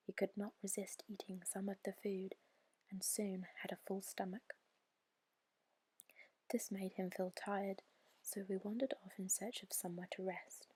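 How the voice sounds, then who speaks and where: A woman reads a bedtime story in a gentle, soothing ASMR whisper, breathy and measured, close to the microphone. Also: medium pitch.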